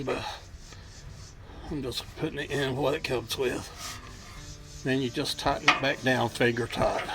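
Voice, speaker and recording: A man's voice: medium pitch, creaky. A man hosting a DIY auto repair video, explaining each step in a casual, plainspoken, folksy way. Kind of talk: casual hands-on how-to narration.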